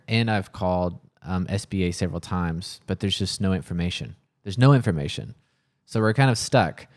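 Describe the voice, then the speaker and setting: A man's voice: deep voice, darkish tone. A man talking casually and earnestly to camera in a worried, frustrated manner, sometimes searching for words. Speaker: coffee shop owner filming a personal vlog.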